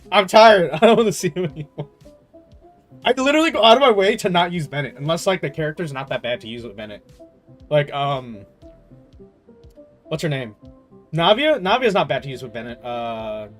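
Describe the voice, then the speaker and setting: A man's voice: on the high side, big pitch swings, moderate pace, pauses often. A man streaming a game, chatting casually and rambling through his thoughts in slangy, stop-start talk with some swearing. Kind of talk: casual livestream chat, rambling gaming commentary. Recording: music playing behind the voice.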